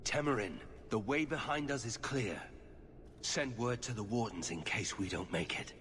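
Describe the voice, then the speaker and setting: A man playing a grave, guarded warrior warden in a video game, speaking in a serious, measured way. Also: mid-range voice, a bit bright, long reverb tail, natural voice. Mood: urgent. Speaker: warden expedition leader in a fantasy game. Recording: clear background noise, game ambience.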